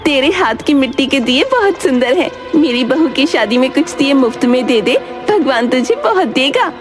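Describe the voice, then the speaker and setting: A woman's voice: high voice, expressive, moderate pace, voice pushed up. A wheedling older village woman played by a woman storyteller in a cartoon moral tale. She coaxes sweetly in a raised, lilting voice, with music underneath. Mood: coaxing. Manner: sweet-talking, coaxing.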